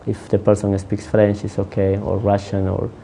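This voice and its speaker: A man working as a counselor whose first language is not English, answering interview questions in a halting way, with many restarts. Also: lowish voice, monotone, gravelly, dark tone, slight background noise.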